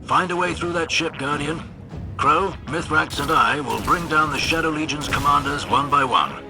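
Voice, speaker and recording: A man's voice: a little high, normal speed, music in the background, natural voice. A man voicing a gallant veteran fighter in a video game, easy and conversational, with reassuring confidence. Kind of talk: video game cutscene acting.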